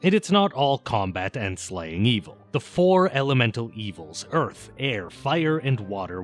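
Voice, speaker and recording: Guy doing a wry casual video essay voiceover, pitch jumps around a lot, music under it. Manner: wry, casual, sweary, emphatic phrasing. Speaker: video essayist and game reviewer. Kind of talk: scripted narration of a game retrospective, conversational.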